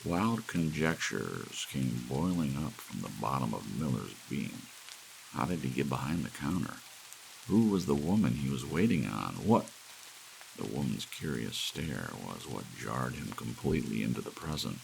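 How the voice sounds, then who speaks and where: A man reading an audiobook story in a dramatic, hushed storytelling style, as a narrator. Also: rumbling low, a little slow, gravelly, poor audio. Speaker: audiobook reader. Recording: steady hiss of soft rain. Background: soft rain.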